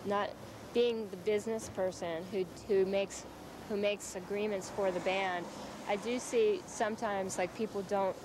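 A young woman who sings lead in a band, speaking in a documentary interview outdoors on a city street at night, matter-of-fact and steady. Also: mid-range voice, measured pace. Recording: hissy 1990s VHS tape.

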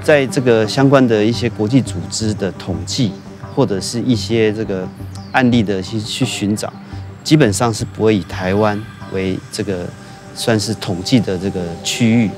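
Low-voiced official speaking deliberately. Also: male voice, music in the background. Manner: deliberate, halting, many fillers. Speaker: government official. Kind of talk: interview. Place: outdoors in a park.

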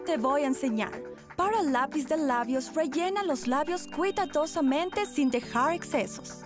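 Slow, very high-voiced glamorous model. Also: female voice, music in the background. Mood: kindly, teasing. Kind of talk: animated series dub in Spanish.

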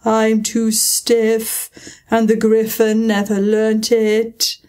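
A woman's voice: on the high side, completely flat delivery, mellow. A woman doing a sobbing, sighing Mock Turtle. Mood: mournful. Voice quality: slow, drawn-out, sighing. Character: mournful sobbing male Mock Turtle creature.

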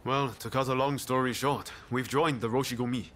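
A man voices an easygoing wandering samurai for a video game. He is laconic and understated, with a medium-pitched voice and a faint bed of ambient noise. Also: steady tone, a little fast, a bit bright, lightly acted. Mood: laid-back. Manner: casual, understated. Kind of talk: naturalistic video game voice acting.

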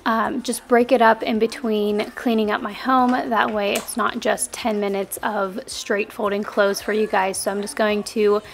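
A young woman, a stay-at-home mom, talking in a relaxed, friendly way with plenty of "um"s as she narrates cleaning her home. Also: mid-range voice, a little slow, creaky, hardly any pauses, slight background noise. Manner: friendly, relaxed, chatty with frequent "um". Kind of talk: chatty cleaning vlog voice-over. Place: home, kitchen and living room.

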